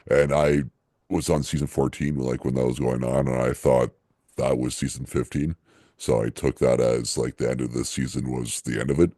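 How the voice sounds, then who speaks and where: A man's voice: very deep voice, level tone, mellow, no echo, clean studio sound. A man co-hosting a podcast, rambling casually with lots of fillers and restarts. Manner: rambling, halting, many fillers and restarts, laughs often. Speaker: podcast co-host. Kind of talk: casual chat.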